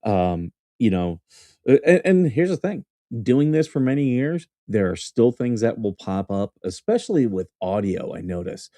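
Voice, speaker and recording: A man who served as a Marine officer, chatting casually as a co-host. His voice is low and mellow, recorded close and dry, and he feels his way through sentences with pauses and fillers. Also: very animated, no echo. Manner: hesitant, many fillers and restarts, easy laughter. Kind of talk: casual conversation.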